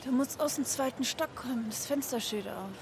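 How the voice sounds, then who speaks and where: A cautious, worried woman on patrol. Her voice sits fairly high. It is a radio drama scene on a noisy street with vehicles. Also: brisk. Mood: urgent, worried.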